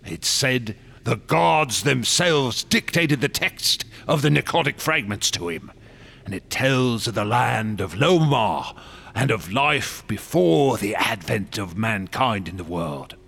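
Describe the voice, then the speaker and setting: A passionate, secretive artist obsessed with ancient gods. His voice is gravelly and husky, swooping widely as he tells his tales with theatrical grandeur, in the manner of radio drama. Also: medium pitch, animated, takes it slowly, a bit bright, heavily acted. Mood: fervent storytelling.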